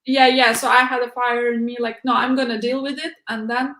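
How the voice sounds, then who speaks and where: Young Uzbek woman, halting speech, echoey. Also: a little high, flat delivery, fairly bright tone. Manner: halting, frequent "like" and "uh" fillers. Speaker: interview guest, PhD researcher. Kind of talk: casual conversational interview.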